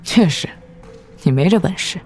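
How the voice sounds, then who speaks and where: Actress doing a haughty demon queen for a wuxia radio play, deeper female voice, measured n commanding, bit of music behind. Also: expressive, a little mellow, close to an everyday voice. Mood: wry, haughty. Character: proud, formidable female martial-arts demon lord, coolly domineering. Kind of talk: Chinese martial-arts audio drama acting.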